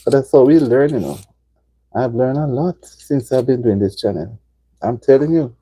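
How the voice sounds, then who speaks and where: Jamaican woman, live-stream host, casual chat, swooping pitch, husky mellow voice. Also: lively intonation, normal speed, rough recording. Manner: chatty, talks straight to viewers, repeats herself for emphasis. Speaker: livestream host.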